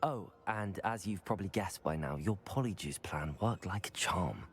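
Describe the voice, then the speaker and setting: A man's voice: sits low, expressive, normal speed, slight background noise. A man playing an earnest, determined young wizard student in a fantasy game, polite and articulate. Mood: serious, informative. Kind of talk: dramatic fantasy video game acting.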